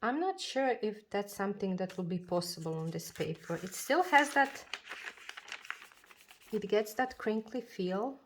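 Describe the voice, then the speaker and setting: A woman chatting casually about her journals and planners, talking slowly with many fillers and restarts, her voice a bit froggy from a cold. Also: mid-range voice, animated, slight background noise. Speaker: journaling video creator. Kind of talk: casual chat showing a planner.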